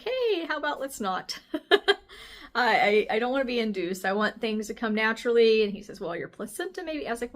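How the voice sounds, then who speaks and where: A woman in her forties with a slightly raspy voice, chatting casually and candidly as a vlogger giving a personal update. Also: fairly high pitch. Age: 40s. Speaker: health vlogger.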